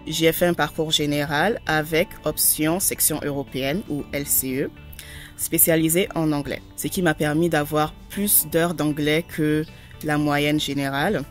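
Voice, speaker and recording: A young adult woman, a former student, talking in a formal, measured way. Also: low-pitched, fairly even intonation, faint music behind. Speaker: former student giving a testimonial.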